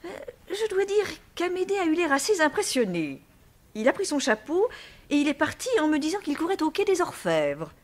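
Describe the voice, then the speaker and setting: An actress playing a chatty, naive and effusive bourgeois wife in a French radio mystery. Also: female voice, high voice, sing-song, moderate pace. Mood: puzzled, chatty. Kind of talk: 1950s-style French radio mystery acting.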